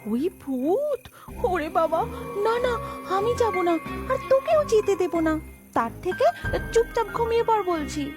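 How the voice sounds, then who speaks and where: A high-pitched, lively cartoon big sister, put on by a female narrator, fearful and bossy. She scolds in alarm over music. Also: a little slow, exaggerated voice. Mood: frightened scolding. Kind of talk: Bengali children's cartoon voice acting.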